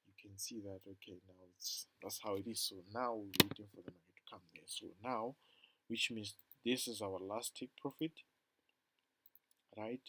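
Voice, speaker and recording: Man with a lowish voice, casual chart tutor, rambling, loudness swings from loud to mumbled. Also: fairly even intonation, normal speed, background noise. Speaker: forex trading tutor. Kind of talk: casual screen-recorded chart walkthrough.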